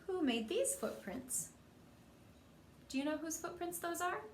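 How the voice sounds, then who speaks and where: A woman's voice: very high voice, a little slow. A woman reading a picture book aloud to young children as a storyteller, expressively and with questions to her listeners. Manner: expressive, acts out dialogue, asks listeners questions. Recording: roomy echo, faint background noise.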